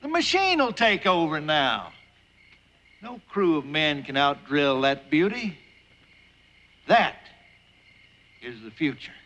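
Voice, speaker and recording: A man's voice: fairly high pitch, sing-song, fairly bright tone, full character voice. An actor playing a confident, mocking railroad company man, emphatic and persuasive, in a television folk-tale drama, on slightly dull videotape. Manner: emphatic, deliberate.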